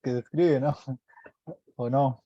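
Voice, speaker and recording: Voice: medium pitch, slow, warm tone, dry recording, dull audio. An adult student in an online English lesson, curious and eager, asking questions and repeating words to practise.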